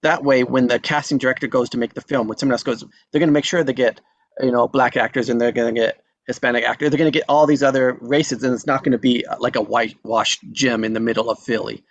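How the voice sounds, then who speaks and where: An encouraging male host leads a casual chat over a video call. His audio is dry and a little dull, with no room around it. His pitch sits in an ordinary middle range. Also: steady tone, quick pace, no room sound. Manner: encouraging, affirming. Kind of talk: casual group discussion.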